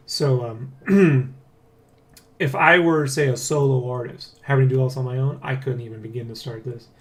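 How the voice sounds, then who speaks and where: A man's voice: medium pitch, steady tone, normal speed. Man chatting casually on a dev vlog. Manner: rambling, keeps seeking agreement with "right?" and "you know?". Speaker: dev blog host and comic artist. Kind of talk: casual dev vlog chat, addressing viewers and co-worker.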